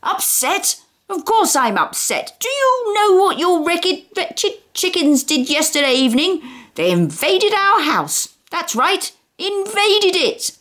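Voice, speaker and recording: A woman's voice: extremely high pitch, big pitch swings, slow pace, fairly bright tone, voice pushed up, heavily acted. A woman doing a raging, bellowing neighbour man. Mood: furious. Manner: roaring, indignant ranting. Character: furious middle-aged male neighbour, volcanic temper. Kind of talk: audiobook character reading.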